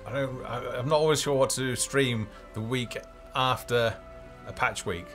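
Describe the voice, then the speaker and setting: A man's voice: medium pitch, steady tone, measured pace. A man hosting a casual game developer live stream, talking off the cuff in a hesitant, rambling way as he thinks aloud. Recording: music playing behind the voice.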